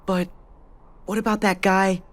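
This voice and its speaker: A man playing an upbeat, brave teenage boy hero in an animated video game, with an American accent and an earnest, casual manner. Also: high-pitched, measured pace. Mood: thoughtful.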